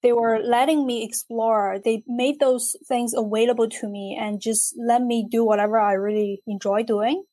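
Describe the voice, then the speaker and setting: A young adult woman from China, a physicist and artist, speaking in a measured, thoughtful way in a conversational interview. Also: fairly high pitch, fairly even intonation, mellow.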